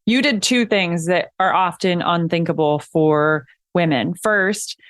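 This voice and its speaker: A woman's voice: lowish voice, a little slow, smooth. A woman hosting a podcast interview, enthusiastic and asking long prepared questions. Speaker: podcast host.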